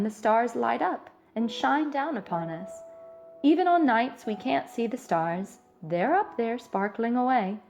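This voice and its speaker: A woman voicing a gentle, loving mother raccoon in a storybook, speaking in a tender, soothing way. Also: on the high side.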